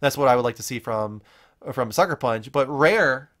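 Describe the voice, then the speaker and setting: A man's voice: mid-range voice, lively intonation, moderate pace, fairly bright tone. A man hosting a casual panel chat about video games, conversational and thinking aloud as he goes. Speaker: show host leading a panel.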